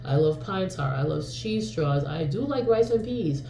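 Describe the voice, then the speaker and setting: A woman's voice: sits low, lively intonation, moderate pace, creaky, mellow, reverberant, a little hiss or hum. A woman in her forties from New York, casually vlogging in a rambling, frank way with lots of fillers and the odd swear word. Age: 40s. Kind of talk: casual talking-to-camera vlog.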